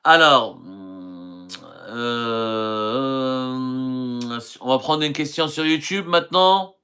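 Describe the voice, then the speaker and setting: Man from algeria, middle-aged, live-stream host, earnest, hesitant, mid-pitched voice. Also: extremely slow, sharp tone, clean tone. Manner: earnest, talks with many hesitations and restarts. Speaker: journalist hosting a live stream. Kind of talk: live stream answering viewer questions.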